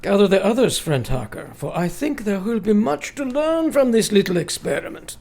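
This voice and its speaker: An actor playing a grave, commanding elderly professor in a radio play, speaking in formal, old-fashioned, deliberate phrases. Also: male voice, sits high, sing-song, vocal fry, a little mellow, heavily acted. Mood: intent.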